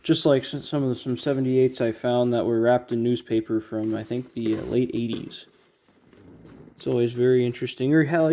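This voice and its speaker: Male collector-host, mid-pitched and mellow, off-the-cuff unboxing commentary, halting and thinking aloud. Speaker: record collector, video host.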